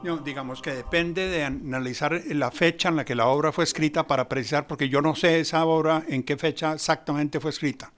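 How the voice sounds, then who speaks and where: A man's voice: fairly high pitch, steady tone, a little fast, hardly any pauses, a bit bright, faint music behind. A Colombian man talking as an expert in an interview, explaining in a discursive, emphatic storytelling way. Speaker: expert commentator, theatre researcher.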